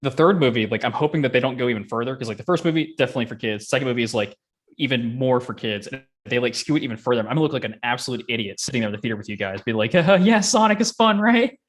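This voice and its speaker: A man's voice: medium pitch, expressive, racing. A man co-hosting a casual chat, joking and poking fun at himself as he goes. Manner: self-deprecating, joking. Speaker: podcast co-host. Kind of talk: casual banter.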